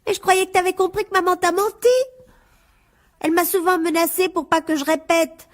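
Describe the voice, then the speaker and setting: A frightened teenage schoolgirl in a radio drama. Her voice is very high, and she pleads anxiously and insistently, as someone desperate to be believed. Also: steady tone, fairly quick. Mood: anxious, pleading. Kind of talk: French radio drama acting.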